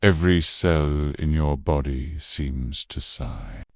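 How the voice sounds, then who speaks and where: A man narrating a bedtime sleep meditation in a slow, hushed, gentle voice that trails off softly. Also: a bass voice, fairly even intonation, no echo, studio quality. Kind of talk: soothing scripted bedtime narration.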